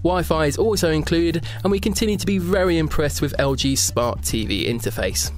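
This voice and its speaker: A man gives a brisk, upbeat scripted narration, his voice sitting slightly high. Music plays clearly beneath the voice-over. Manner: upbeat, enthusiastic product rundown. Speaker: tech show presenter.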